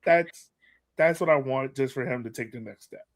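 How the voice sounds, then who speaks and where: A man's voice: medium pitch, normal speed. A man hosting a sports podcast, giving casual fan commentary with playful, acted-out banter.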